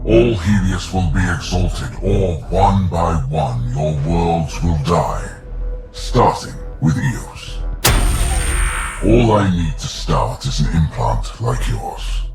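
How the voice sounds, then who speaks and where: Very deep, gravelly alien warlord. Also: male voice, expressive, roomy sound, music in the background, heavily acted. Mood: gloating menace. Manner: pompous, slow, gloating. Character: menacing male alien warlord, grandiose and cold. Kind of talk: dramatic video game villain acting.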